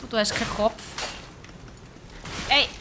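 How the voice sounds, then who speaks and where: A woman's voice: a little high, fairly even intonation, a little slow, very noisy. A young Swiss woman with a Swiss German accent, live-streaming a game with chatty, self-mocking commentary and English exclamations thrown in. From Switzerland.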